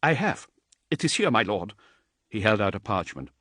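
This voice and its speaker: A man's voice: fairly low pitch, sing-song, moderate pace, fairly bright tone, dry recording, clean studio sound. A man voicing a nervous, overeager male messenger in an audiobook.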